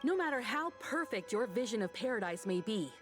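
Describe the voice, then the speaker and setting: An actress playing a composed, resolute woman in a video game, speaking in a measured, earnest way. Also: on the high side, big pitch swings. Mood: firm resolve. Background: background game music.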